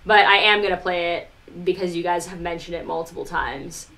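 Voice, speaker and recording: A woman's voice: lowish voice, steady tone, fairly quick, pushed and bright, low-quality recording. A woman live streamer talking casually to her viewers, rambling informally with lots of "like" and some mild swearing. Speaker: live streamer, music producer.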